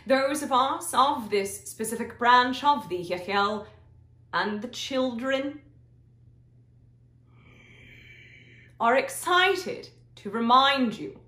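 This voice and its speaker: A woman voicing a benevolent, serene extraterrestrial guide in a guided meditation, with deliberate, hypnotic, repetitive phrasing and long pauses. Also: high-pitched, heavily acted. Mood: gently excited. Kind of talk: slow channeled guided meditation. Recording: roomy echo, soft music behind.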